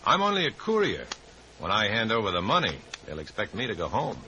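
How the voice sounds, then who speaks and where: Low, animated hard-boiled undercover man. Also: brisk, slight background noise, exaggerated voice, low-quality recording. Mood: uneasy, incredulous. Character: wary, wry male undercover courier posing as communist. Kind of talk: 1950s American radio drama acting with narration.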